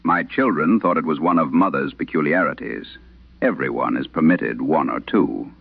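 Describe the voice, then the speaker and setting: A man narrating a vintage late-night radio horror show, reading letters in a solemn, measured, literary way through an old radio filter. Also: very deep voice, vocal fry, husky, dry recording, phone-quality sound. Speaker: horror audio drama host reading letters. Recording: band-limited, dry vintage radio sound.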